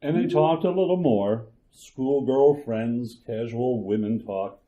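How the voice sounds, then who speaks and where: A male narrator who reads a radio drama slowly and steadily. His voice is dark and mellow, with a middle pitch, and the recording is slightly muffled and lo-fi. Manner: slow and measured.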